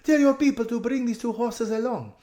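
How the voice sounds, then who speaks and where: A man's voice: very high-pitched, fairly quick, mellow, voice pushed up. A man voicing a serious, bossy young runaway noble girl in a storybook, speaking with anxious, insistent urgency. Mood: furious, urgent.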